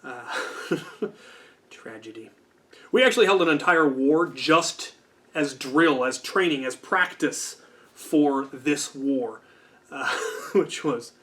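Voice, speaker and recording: A man's voice: medium pitch, sharp tone. A man hosting a storytelling channel, talking in a casual, unscripted, discursive way with wry asides.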